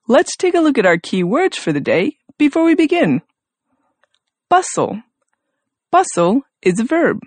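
Woman reading vocab words, really lively up and down voice, dry close sound. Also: medium pitch, no echo. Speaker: vocabulary teacher. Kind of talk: scripted lesson reading.

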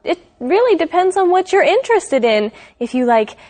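A woman's voice: very high-pitched, sing-song, normal speed, darkish tone. A woman from the United States co-hosting an English lesson in friendly, casual chat. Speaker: co-host.

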